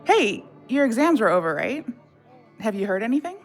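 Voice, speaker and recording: A woman's voice: on the high side, very animated, normal speed, soft background music. An actress playing a brash, teasing best friend in an audio drama, blunt and wry in the way she talks. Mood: coaxing.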